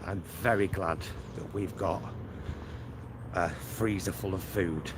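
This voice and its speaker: A man's voice: low-pitched, fairly even intonation, takes it slowly. A man chatting casually in a rambling walking vlog, thinking aloud as he films himself outdoors. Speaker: vlogger. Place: outdoors, walking near a supermarket and roads. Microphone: handheld camera in selfie mode. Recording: loud outdoor street and traffic noise.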